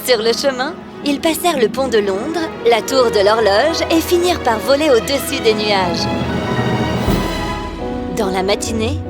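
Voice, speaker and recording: A woman narrates a children's fairy tale in a high-pitched voice. Her scripted storytelling runs over clearly audible music. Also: expressive, unhurried.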